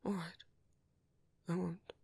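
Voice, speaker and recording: A man voicing a kindly, caring British vampire priest in a soft ASMR roleplay, breathy and often near a whisper, with a hesitant, politely formal way of talking. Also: high voice, flat delivery, takes it slowly, no echo, full character voice. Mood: gentle, caring.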